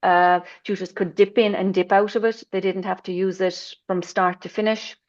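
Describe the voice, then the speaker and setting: Woman presenter, casual ums, slightly dull call audio, mid pitch. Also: little pitch movement, moderate pace. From Ireland. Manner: informal, with frequent ums and apologies while sharing her screen. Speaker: adult education presenter. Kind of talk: online conference presentation.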